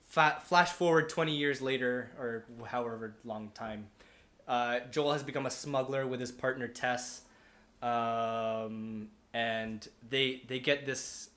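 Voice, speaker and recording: A man's voice: mid-range voice, fairly bright tone. A man telling a story casually and off the cuff, rambling and often correcting himself as he goes. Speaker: podcast panelist pitching a movie adaptation. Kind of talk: casual, unscripted storytelling among friends. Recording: roomy, echoing room.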